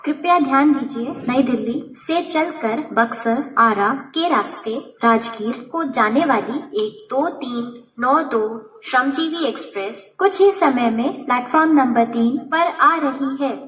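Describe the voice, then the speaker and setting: A woman with an Indian accent making formal scripted railway station announcements in measured, stitched-together phrases. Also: high-pitched, lively intonation, a little hiss or hum. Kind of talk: scripted public announcement in Hindi and English. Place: railway station, announcement heard over PA speakers. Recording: echoey station loudspeaker, distant mic, music.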